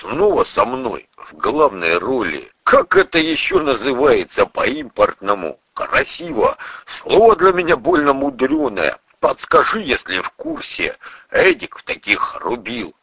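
A man voicing a burly bearded priest with a criminal past, folksy, gruff and wry, in an audiobook story. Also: medium pitch, huge pitch swings, a little slow, pushed and bright, dry recording, voice pushed up, full character voice. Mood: folksy, musing. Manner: colloquial, slangy, teasing.

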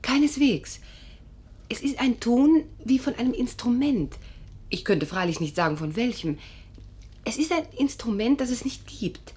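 A troubled, sleepless patient in an old radio play. Her husky voice moves through wide swings of pitch, with precise, insistent emphasis. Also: animated, a little slow, darkish tone. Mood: emphatic. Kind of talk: 1950s German radio drama acting.

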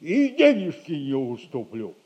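An actor playing a crafty old retired soldier in a 1960s stage comedy, folksy, whining and wheedling. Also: male voice, a little high, huge pitch swings, unhurried. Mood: haggling, stubborn. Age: older. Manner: folksy, wheedling, theatrical delivery. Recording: dull 1960s audio play recording.

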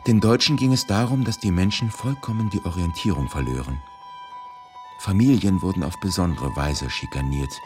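A man reading scripted documentary narration for radio in a grave, measured, deliberate manner. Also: low-pitched, darkish tone. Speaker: reader of a historical eyewitness testimony. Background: music.

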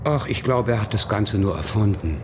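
A man's voice: lowish voice, animated, normal speed, background noise, lightly acted. An actor playing a coy, evasive male witness under police questioning in a German radio crime drama, by turns dreamy and defensive.

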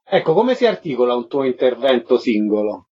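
A man's voice: mid-range voice, very animated, brisk, darkish tone, breathy edge. A man putting interview questions as the host of a radio programme. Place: radio broadcast. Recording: slightly dull, lo-fi radio audio.

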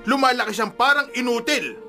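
Stern high-pitched father. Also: lively intonation, a little fast, music in the background. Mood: exasperated. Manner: firm, scolding. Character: stern, exasperated father who wants discipline. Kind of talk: Filipino radio drama acting.

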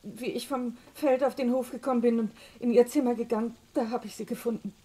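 An actress playing a shaken, timid country woman in a German radio crime play, speaking haltingly with stammered starts. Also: on the high side, fairly even intonation, warm tone, natural voice. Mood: shaken, numb.

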